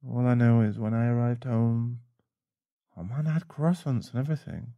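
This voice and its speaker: A man playing a caring, protective boyfriend in an intimate ASMR roleplay, speaking softly and soothingly with halting pauses. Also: mid-range voice, no echo, highs cut off, lightly acted. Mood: shaken, anxious. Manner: soft, soothing, halting, sighing. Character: caring, protective, shaken boyfriend comforting a partner. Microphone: close mic.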